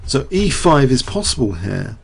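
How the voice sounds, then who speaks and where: Croaky man commentating on chess. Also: mid-range voice, sing-song, normal speed, soft background music. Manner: talks through moves, quizzes viewers. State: getting croaky. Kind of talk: live chess game commentary.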